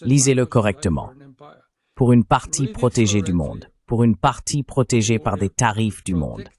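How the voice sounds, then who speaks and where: A man's voice: lowish voice, very animated, moderate pace, darkish tone. A man delivering a translated voice-over of an interview, explaining things as a commentator.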